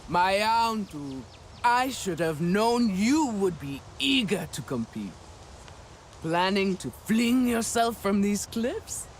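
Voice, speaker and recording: A man's voice: high-pitched, sing-song, takes it slowly, slight background noise, exaggerated voice. An actor playing a jovial, teasing elder clansman in a game, with a playful drawl. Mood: teasing, amused. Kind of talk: game character acting.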